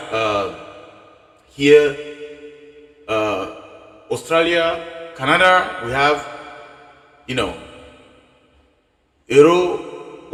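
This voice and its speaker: A man's voice: fairly high pitch, bright, forceful tone, echoey room, big-room sound. A man hosting a live forex trading stream, speaking English as a later language after his own dialect. He rambles, repeats himself and breaks off to restart sentences. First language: a local dialect; English and French are later languages. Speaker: forex trading channel host.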